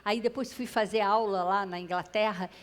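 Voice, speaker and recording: A woman's voice: mid-range voice, steady tone, normal speed. A Brazilian woman with a Brazilian Portuguese accent, telling a personal story to a live audience on stage through a clip-on mic, addressing the listeners directly. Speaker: speaker at a public talk. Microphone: clip-on microphone.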